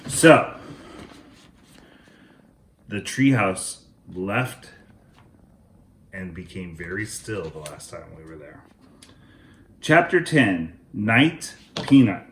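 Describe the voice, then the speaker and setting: Slow, expressive man in an echoey room. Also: low-pitched, a little hiss or hum. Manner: deliberate, expressive. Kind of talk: children's storybook narration.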